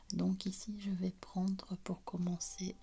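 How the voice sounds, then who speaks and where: A woman with a hoarse voice from a cold gives a slow, calm card reading, often half-whispering. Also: mid-range voice. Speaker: tarot card reader. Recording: loud background noise.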